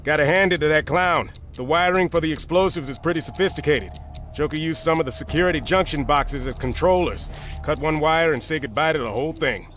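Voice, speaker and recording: An actor playing a tough, proud prison warden in a video game, firm and plain-spoken. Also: male voice, a little high, a little fast, fairly bright tone, faint music behind, close to an everyday voice. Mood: brisk, practical. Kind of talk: video game cutscene acting.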